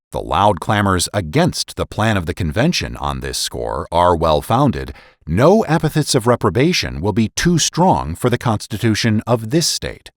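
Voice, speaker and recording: Low-voiced man reading with dramatic swings. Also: dry recording, studio quality. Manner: formal, oratorical, emphatic. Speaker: podcast host and narrator. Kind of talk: dramatic reading of a historical political essay.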